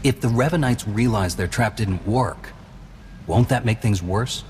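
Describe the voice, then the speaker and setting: A man playing a stern, resolute hero in a video game, speaking in a measured, serious way. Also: fairly low pitch, slight background noise, natural voice. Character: stern, resolute heroic male adventurer. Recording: loud game music underneath. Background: music.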